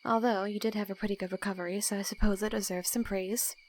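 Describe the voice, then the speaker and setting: A woman's voice: mid-range voice, steady tone, quick pace, slight background noise, natural voice. A woman playing a sarcastic, bossy young assassin roommate with dry, teasing delivery in an audio roleplay. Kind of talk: casual audio roleplay acting.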